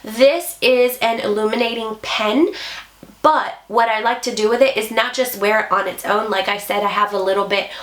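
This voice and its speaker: A woman talks through her favorite makeup, hoarse and sniffly from a cold. She gushes in a chatty way and heaps praise on each product, her voice sitting a little high. The room around her has a noticeable echo. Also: fairly even intonation, normal speed, a bit bright. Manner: chatty, enthusiastic, repeats praise words. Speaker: beauty vlogger. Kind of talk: casual product review vlog. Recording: echoey room.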